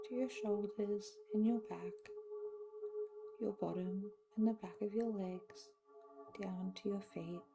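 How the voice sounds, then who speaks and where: A woman guides a meditation at an extremely slow, unhurried pace, leaving long pauses between short phrases. Her soft, soothing voice has a noticeable creak at its edges. Meditative music with singing bowls plays clearly behind her. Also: medium pitch.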